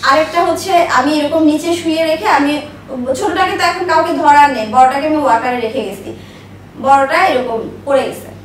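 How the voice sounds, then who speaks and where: A woman's voice: high-pitched, a little fast. A woman in her thirties telling personal stories as an interview guest, speaking emphatically and repeating herself for stress. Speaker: interview guest, business owner. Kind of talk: personal life storytelling in an interview. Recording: echoey room, distant mic, faint noise.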